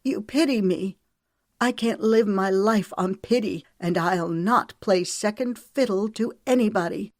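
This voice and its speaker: A woman's voice: medium pitch, unhurried, dry recording, clean studio sound, pitched above the performer's own voice, lightly acted. A woman voicing a heartbroken, furious young Southern wife with a Southern US accent, speaking in a raised, impassioned way. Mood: resolute.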